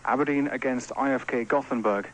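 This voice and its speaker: A man's voice: medium pitch, steady tone, brisk, fairly bright tone, rough recording. A man giving live football commentary from a stadium.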